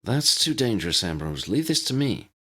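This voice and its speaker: A man voicing an earnest, higher-pitched young female agent. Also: big pitch swings, normal speed, sharp tone, husky, heavily acted. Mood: urgent, determined. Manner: hesitant, deferential to superiors.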